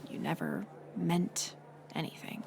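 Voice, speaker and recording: A woman playing a bitter, hurt college-age former friend turned bully, confessing in a halting, intimate audio drama monologue. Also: fairly low pitch, background noise. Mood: guilty, bitter confession. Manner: halting confessional delivery, drifting into breathy near-whispers.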